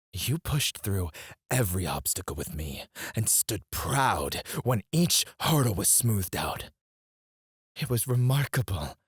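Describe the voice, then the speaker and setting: A man playing an obsessive, controlling CEO in an intimate ASMR roleplay, speaking in a deliberate, condescending, menacingly doting way with a breathy voice. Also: lowish voice, a little mellow, no echo, studio quality, heavily acted. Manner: slow, deliberate, condescending, swinging between murmurs and outbursts.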